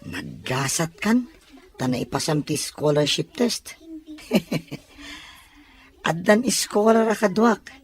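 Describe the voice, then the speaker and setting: A woman's voice: on the low side, animated, slow pace, long pauses. A woman with an Ilocano Filipino accent voicing a casual, admiring young student friend in a radio drama. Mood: congratulatory.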